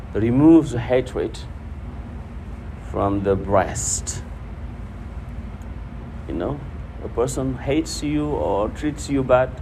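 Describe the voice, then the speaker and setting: A man preaching informally to a congregation, repeating phrases for emphasis. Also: mid-range voice. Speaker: preacher teaching hadith. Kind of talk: informal religious talk to a congregation, quoting Arabic. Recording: noisy room with steady background hum.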